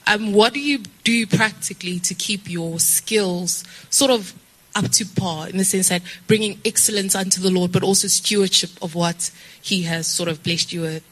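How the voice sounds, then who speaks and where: A woman on an interview panel puts her question politely and evenly. Her voice sits at a middle pitch, with the odd hesitant pause. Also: a bit bright, low-quality recording.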